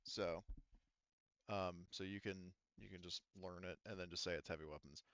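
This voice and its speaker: A man's voice: deep voice, fairly even intonation, normal speed, fairly bright tone, clean tone, dry recording, lo-fi sound. A man talking casually and informally, with some swearing, as game master of a tabletop roleplaying game.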